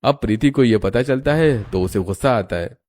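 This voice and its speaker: Guy narrating a cartoon story, mellow soft-edged voice, middle pitch. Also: moderate pace, slight background noise.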